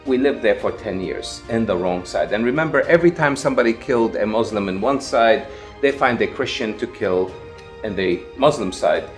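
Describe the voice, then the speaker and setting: A man from Lebanon with an English accent, a guest recounting his past in an interview with animated, emphatic delivery. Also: on the low side, moderate pace, faint music behind. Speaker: guest, evangelist. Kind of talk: interview storytelling.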